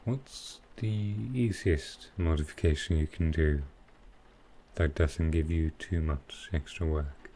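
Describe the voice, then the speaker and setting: A man playing a smooth, flirtatious supervillain in an intimate ASMR roleplay, speaking softly and unhurriedly in short, halting phrases. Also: very deep voice, a little hiss or hum. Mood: calm, considerate. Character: smooth, flirtatious male supervillain courting his tailor. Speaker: voice actor in audio roleplay.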